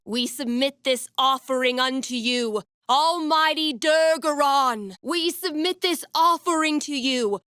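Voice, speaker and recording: A woman's voice: sits high, fairly bright tone, dry recording, studio quality. An actress playing a wry, slangy young woman who DJs and runs tabletop games, in a video game. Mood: ominous chanting. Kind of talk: video game character inner monologue.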